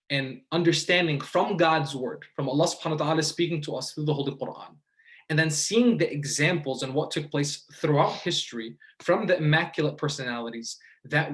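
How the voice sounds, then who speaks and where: American man giving a reflective religious lecture. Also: on the high side. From United States, from the Detroit and Dearborn area of Michigan. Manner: deliberate, with pauses and rhetorical questions.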